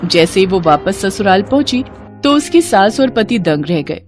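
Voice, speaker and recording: A woman's voice: mid-range voice, sing-song, normal speed, faint music behind. A woman narrating a scripted cartoon family story.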